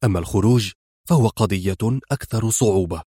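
A resolute secret agent, put on by a man reading a thriller aloud, speaks low and quiet with firm, clipped speed. He sounds controlled and unhurried in thought even when talking fast. The recording is dry and close. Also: dry recording, close to an everyday voice.

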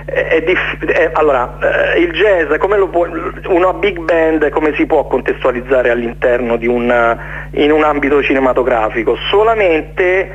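A man giving an informal radio interview as a guest, speaking haltingly, searching for words and repeating himself. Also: a little high, dull audio, slight background noise. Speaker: interview guest, jazz musician presenting his concert series.

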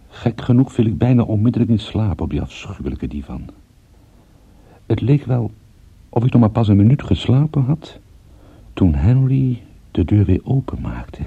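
A dry, muffled radio recording of a world-weary private eye telling his own story. His low voice is very hoarse-edged and creaky, with measured delivery and expressive intonation. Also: dry recording, full character voice. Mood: dry, ominous. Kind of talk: first-person radio drama narration.

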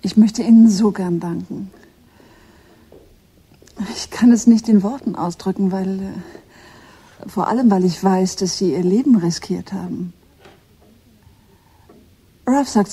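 An actress playing a gracious, wealthy middle-aged American mother in a German radio play, composed and polite, with a soft, husky voice. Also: mid-range voice, a little slow, long pauses. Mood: grateful. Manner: polite, composed society hostess. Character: gracious wealthy American mother welcoming her son home.

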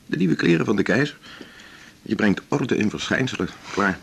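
A wry male doctor, low gravelly voice, lively swooping intonation, self-assured, pontificating, radio drama. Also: moderate pace, slight background noise. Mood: anecdotal, knowing. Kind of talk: naturalistic Dutch radio drama dialogue.

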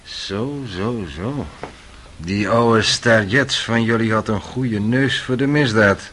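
A man's voice: lowish voice, takes it slowly, a bit bright, a little hiss or hum. An actor playing a cynical, worldly young man in a radio drama, sly and needling in manner. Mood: sardonic. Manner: sardonic, insinuating.

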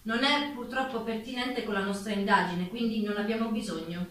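A woman's voice: medium pitch, brisk, fairly bright tone, natural voice. Echoey distant woman as committee chairman, polite but dismissive, official, stage reading. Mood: polite, firm. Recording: distant mic, very echoey room, faint noise.

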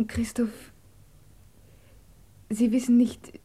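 An actress in a German radio drama playing an earnest, emotional émigré woman who speaks intimately, sometimes dropping to a hushed, breathy tone. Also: on the high side, level tone, very slow, exaggerated voice. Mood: pleading, wistful.

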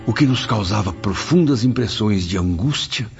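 A male actor gives a grave, reflective spirit memoirist with a low voice, narrating in literary Brazilian Portuguese for a radio drama. The recording is a little dull, with prominent music underneath. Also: expressive, normal speed, gravelly. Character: grave male spirit recounting his anguished memories.

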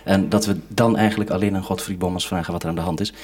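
A man's voice: low-pitched, steady tone, quick pace, darkish tone. A man working as a radio reporter, speaking in a live link-up on an old broadcast recording. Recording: old radio recording with faint noise, clicks.

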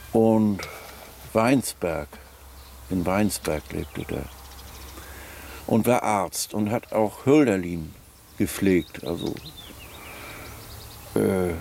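A man explaining informally, halting and searching for words in fragmentary sentences as he thinks aloud. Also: on the low side, creaky, a little mellow, slight background noise.

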